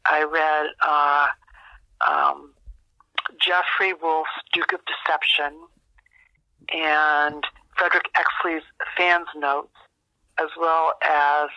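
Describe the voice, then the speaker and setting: An older American woman giving a reflective interview over a landline phone, speaking in a halting, thoughtful way as a guest. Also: sits low, level tone, no echo. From rural United States. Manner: halting, thoughtful, with long searching pauses. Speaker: interview guest, author and memoir teacher. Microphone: landline telephone. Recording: dull, narrow landline phone sound.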